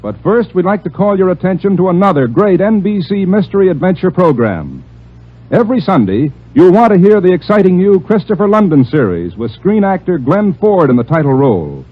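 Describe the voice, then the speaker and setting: A man's voice: high voice, sing-song, brisk, breathy edge. A male announcer reading scripted promotion in the formal style of 1950s radio. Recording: dull old radio broadcast.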